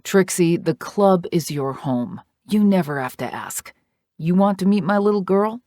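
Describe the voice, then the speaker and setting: A woman's voice: lowish voice, expressive, measured pace, warm tone, no echo, clean studio sound, voice pushed up. Woman reading a tender biker dad.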